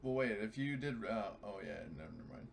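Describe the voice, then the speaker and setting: A man's voice: lowish voice, expressive, a little fast, creaky. Bantering male gamer, noisy recording. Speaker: tabletop game player. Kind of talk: casual game banter.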